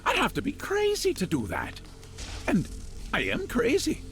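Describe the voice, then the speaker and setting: An actor playing a gleefully deranged, menacing prince of madness in a video game, theatrical and mocking. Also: male voice, extremely high pitch, huge pitch swings, unhurried, fairly bright tone, soft background music, heavily acted. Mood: manic, mocking. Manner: theatrical, wildly swinging, mocking.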